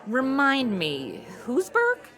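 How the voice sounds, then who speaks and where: A woman's voice: high-pitched, wildly swooping pitch, slow, a bit bright, soft background music, exaggerated voice. An actress playing a flippant, self-absorbed modern young woman in a comedy audio drama, breezy, sassy and slangy. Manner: sassy, breezy, slangy, valley-girl phrasing.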